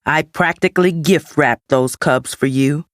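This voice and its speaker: A man's voice: on the high side, a little slow, no room sound, clean studio sound, close to an everyday voice. A man voicing a scheming, bitter adult lion villain in a storybook, sardonic and menacing with a mock-sweet edge. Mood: scornful, scheming.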